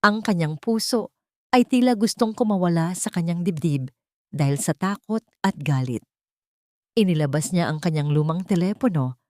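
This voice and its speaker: A woman's voice: lowish voice, lively intonation, moderate pace, no room sound, clean studio sound. A woman reading a dramatic story as a scripted narration, with a solemn, ornate storytelling delivery.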